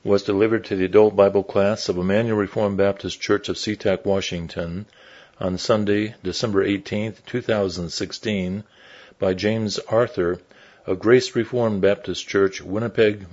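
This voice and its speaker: Male announcer, low voice, formal intro, dull muffled audio. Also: steady tone, a little mellow. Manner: formal, measured. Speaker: recording announcer.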